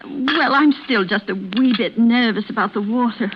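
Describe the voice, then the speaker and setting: An actress playing a sweet, nervous, gushing wife given to dramatics, in the style of 1940s radio drama. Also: female voice, fairly high pitch, normal speed, natural voice. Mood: sweet, fluttery. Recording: muffled, noisy vintage radio recording.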